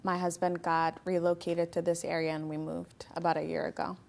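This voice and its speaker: A woman's voice: on the low side, little pitch movement, a little fast, creaky, darkish tone. A woman originally from Ethiopia, a physician assistant, giving brief, polite answers on a local TV interview.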